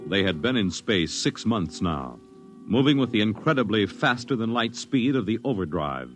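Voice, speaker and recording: Man narrating old sci-fi radio story, lowish voice, music under him. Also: sing-song, fairly bright tone. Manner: measured and dramatic.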